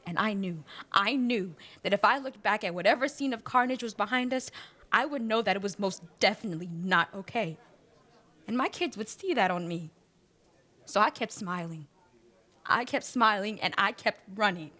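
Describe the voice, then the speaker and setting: An actress playing a weary mother who survived a disaster, telling her story haltingly and reflectively in a radio drama interview. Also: medium pitch, expressive, bright, forceful tone. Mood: shaken. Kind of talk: documentary-style radio drama monologue.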